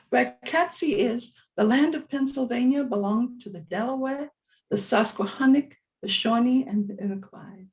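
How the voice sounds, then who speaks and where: An older woman from Malaysia and Singapore, speaking in a deliberate, solemn way as she leads a formal grounding over a video call. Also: on the high side, gravelly, darkish tone, low-quality recording. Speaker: speaker giving a land acknowledgment. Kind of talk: measured guided grounding and formal address. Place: virtual event over video call, from Minnesota.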